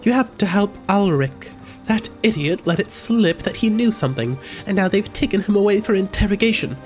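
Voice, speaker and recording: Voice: medium pitch, animated, normal speed, pitched above the performer's own voice, natural voice. A streamer reading aloud the lines of a weary freed prisoner who pleads for help, in a game, with game music faintly behind. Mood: urgent plea.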